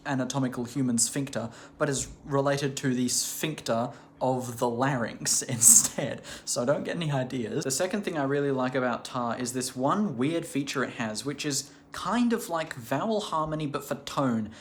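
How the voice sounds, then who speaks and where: Guy rambling excitedly about languages, mid pitch, lots of likes and ums, faint hum behind. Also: normal speed, hardly any pauses. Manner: chatty, rambling with many "like" and "um" fillers; enthusiastic and curious. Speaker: language channel host. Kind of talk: casual talk to camera.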